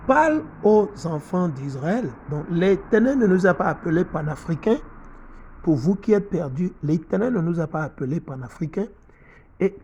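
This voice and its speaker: Husky emphatic French-speaking male preacher. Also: a little high, darkish tone. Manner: emphatic, repeats himself and asks "ok?" often. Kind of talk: preaching Bible teaching.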